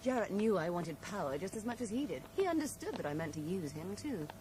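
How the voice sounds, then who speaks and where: A woman's voice: mid-range voice, very animated, brisk, natural voice. An actress playing a composed, ambitious female accomplice, clipped and defiant, in a 1980s radio drama. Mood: proud, candid. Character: cool, ambitious, unapologetic female accomplice hungry for power. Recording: noisy, hissy old radio broadcast recording.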